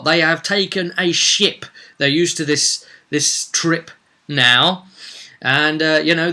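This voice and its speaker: A man's voice: a little high, bright, forceful tone. A man talking as a game master in a casual storytelling vlog, with theatrical emphasis and rambling asides. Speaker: game master recapping a tabletop roleplaying campaign.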